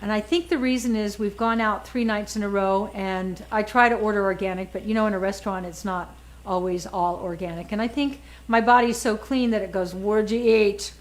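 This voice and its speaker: A woman's voice: mid-range voice, poor audio. A woman in her sixties, an author, talking casually and emphatically, often repeating words as she makes her points. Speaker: author and guest. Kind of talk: casual chat.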